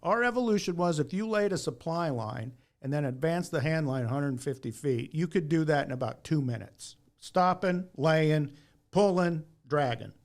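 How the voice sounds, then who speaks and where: A man's voice: on the high side, lively intonation, normal speed. A man chatting casually about his trade on a podcast, plainspoken, folksy and emphatic. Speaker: podcast panelist and former firefighter. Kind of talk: casual opinionated shop talk.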